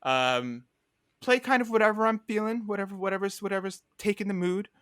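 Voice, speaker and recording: A man's voice: high-pitched, a little fast, fairly bright tone, clean tone, no echo, studio quality. A young man co-hosting a podcast, chatting casually and rambling upbeat through a story with lots of fillers. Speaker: podcast co-host.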